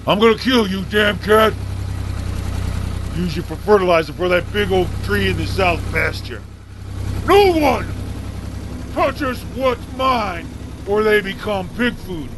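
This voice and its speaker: An actor playing a big, selfish, murderous farmer in a horror radio play, muttering menacingly to himself and bursting into shouts. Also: male voice, high-pitched, lively intonation, unhurried, pauses often, background noise. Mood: furious.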